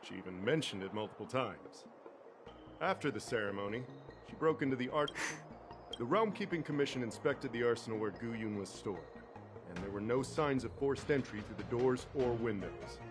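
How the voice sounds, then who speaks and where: An actor playing a methodical, dutiful investigator in a video game, with formal, analytical delivery. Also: male voice, medium pitch, animated, normal speed, lightly acted. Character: methodical, dutiful male investigator presenting a case. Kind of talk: scripted game dialogue. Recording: loud background music under voice. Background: music.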